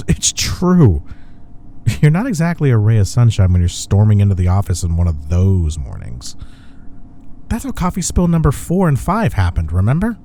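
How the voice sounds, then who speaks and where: A man's voice: lowish voice, wildly swooping pitch, normal speed, warm tone, slight background noise. A young man acting as a smug, teasing office rival in an intimate roleplay audio. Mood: exasperated, teasing. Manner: teasing, playful banter. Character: teasing, smug young male office rival softening toward his coworker.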